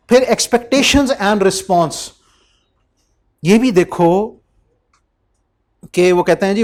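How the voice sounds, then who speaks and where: A man's voice: fairly high pitch, darkish tone. A young man teaching an accountancy class, calling his students children and acting out little dialogues. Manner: addresses students as children, acts out quoted dialogues. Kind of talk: classroom lecture.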